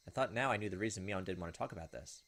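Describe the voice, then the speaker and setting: A man hosts a visual novel playthrough in a fairly low voice. He talks quickly and casually, switching between reading the text aloud and commenting on it. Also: a bit bright. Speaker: gaming video host. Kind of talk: let's play commentary mixed with reading game text aloud.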